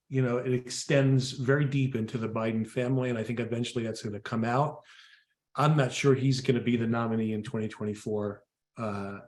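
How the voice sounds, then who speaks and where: A man's voice: medium pitch, darkish tone. An American man speaks in a mid-range voice with noticeable room echo. He gives conversational political commentary as a co-host, leaning on emphatic repetition and rhetorical questions. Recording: echoey room sound.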